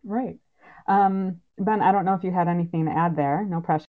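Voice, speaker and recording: Female moderator, very low pitch, informal and affirming, thin phone-quality audio. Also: fairly even intonation, brisk. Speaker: panel moderator, podcaster. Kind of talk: casual panel moderation.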